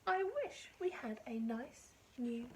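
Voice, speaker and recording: A woman voicing a small, forlorn mother mouse with a squeaky voice in a storybook. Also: sing-song, takes it slowly, a little hiss or hum. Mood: forlorn, wishful.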